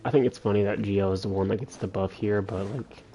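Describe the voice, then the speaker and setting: A man's voice: fairly low pitch, steady tone, a little fast, mellow, muffled recording, a little hiss or hum. A man livestreaming a video game, casually thinking aloud and muttering to himself as he plays. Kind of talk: casual solo gameplay commentary, thinking aloud. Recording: faint game music behind voice. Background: faint video game music.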